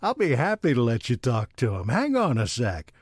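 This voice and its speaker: Audio comedy role, male actor: small-town shopkeeper, harried straight man with dry sarcasm, animated delivery, close studio sound. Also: medium pitch, normal speed, husky, no echo. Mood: sarcastic. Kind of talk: audio comedy drama dialogue.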